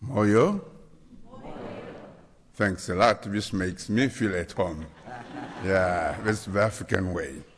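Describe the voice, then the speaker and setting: A man from the Democratic Republic of the Congo with a Congolese accent, preaching a sermon as a guest, slow and deliberate. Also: low voice, a little hiss or hum. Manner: slow, deliberate, spells out words. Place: church sanctuary. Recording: church hall reverb.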